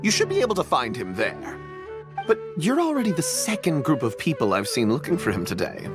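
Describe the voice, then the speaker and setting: A woman's voice: low-pitched, huge pitch swings, fairly quick. A woman voicing a polite but gossipy academy clerk in a video game. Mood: chatty. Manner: courteous, slightly gossipy. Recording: game audio with music behind.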